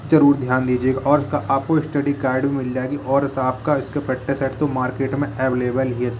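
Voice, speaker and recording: A man promoting an exam prep book in a flat, urging sales pitch. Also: slight background noise, poor audio.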